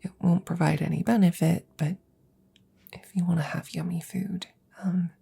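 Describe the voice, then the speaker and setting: A woman playing a worried, devoted, nerdy scientist best friend in an ASMR audio roleplay, speaking softly and breathily up close, often whispering, in a hesitant, rambling, reassuring way. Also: on the low side, steady tone, moderate pace, vocal fry, slightly rough, heavily acted. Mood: tender, earnest. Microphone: close microphone.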